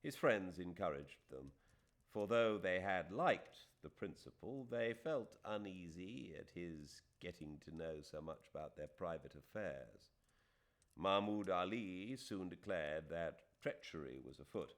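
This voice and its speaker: A man with a British accent reading literary fiction as an audiobook narrator, with dramatic, deliberate phrasing. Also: low-pitched, expressive. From Britain.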